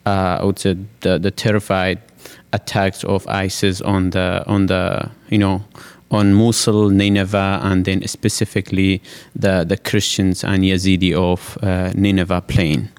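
A man in his thirties from Iraq, telling his personal story haltingly at a live panel event. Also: deep voice, flat delivery, smooth. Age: 30s. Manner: halting, with frequent restarts and fillers. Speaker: panel speaker.

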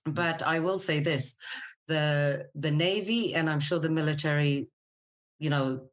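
Low-pitched chatty middle-aged woman. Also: a little slow. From Scotland. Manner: chatty, candid, informal. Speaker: podcast guest, military spouse and business owner. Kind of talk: casual interview chat with personal stories.

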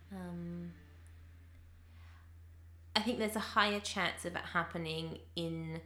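A woman's voice: deep voice, bright, forceful tone, roomy sound. A woman who teaches English, talking through her thoughts with many fillers and restarts in a casual conversation.

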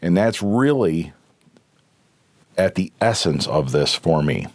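Hoarse low-voiced man hosting a podcast. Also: expressive, slow pace, no room sound. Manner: earnest, emphatic, repeats and rephrases himself. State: hoarse, recovering from a cold. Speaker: podcast host. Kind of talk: opinion podcast monologue.

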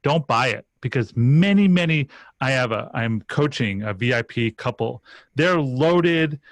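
A man's voice: medium pitch, expressive, a little slow, dry recording. A man working as a life coach, talking animatedly and casually with many fillers. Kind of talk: casual conversation.

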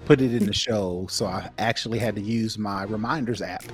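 A man's voice: fairly low pitch, a little fast, faint music behind. A man co-hosting a podcast in casual chat, explaining things informally and circling back to rephrase. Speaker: podcast co-host.

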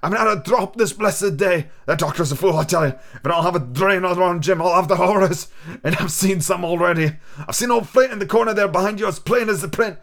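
A gruff, blustering old sea captain, sick with drink and begging one moment, defiant the next, as a man puts him on. The voice sits higher than the reader's own and pushes out louder, with drawn-out rough sailor's speech from a storybook reading. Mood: pleading.

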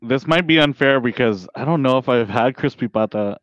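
A man's voice: medium pitch, fairly quick, no room sound. A man guesting on a casual podcast, speaking hesitantly with many fillers. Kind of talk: casual chat.

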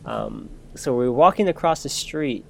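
A man's voice: medium pitch, animated, measured pace, creaky, a little hiss or hum. A man in the middle register tells a long personal story on a podcast, chatting casually. He talks freely and loosely, often breaking off and starting his sentences over.

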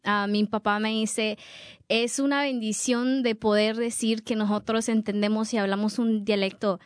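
A young woman from Guatemala, a guest in an interview, speaking softly and hesitantly with many fillers. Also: fairly high pitch, fairly even intonation, moderate pace, clear, resonant tone, no room sound, studio quality. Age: young adult. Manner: soft-spoken, hesitant with fillers. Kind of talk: casual interview answers.

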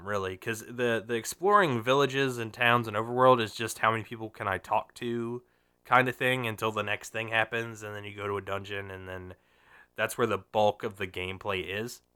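A man's voice: on the low side, normal speed, sharp tone, clear, resonant tone. A man hosting a casual gaming podcast, rambling and swearing with lots of fillers, a little nasal and sniffly from a cold. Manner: rambling, profane, frequent fillers and restarts. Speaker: podcast host.

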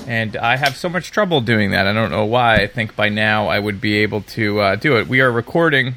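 A man's voice: on the low side, animated, a little fast, pushed and bright, slight background noise. A man hosting a casual podcast. He is chatty, using many fillers and laughing often. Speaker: podcast host.

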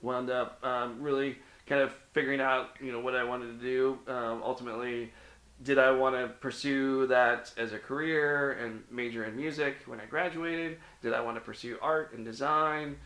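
A man's voice: mid-range voice, steady tone, moderate pace, pushed and bright, roomy sound. A middle-aged man from the American Midwest, a guest in a casual interview, telling his life story with many ums and restarts. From Cincinnati, Ohio (US Midwest).

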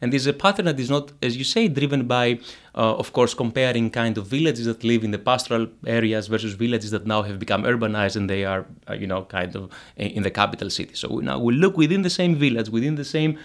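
A man's voice: medium pitch, quick pace. A man from Greece, explaining his economics research in an interview, full of fillers and restarts. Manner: hesitant, many fillers and restarts. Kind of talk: academic interview.

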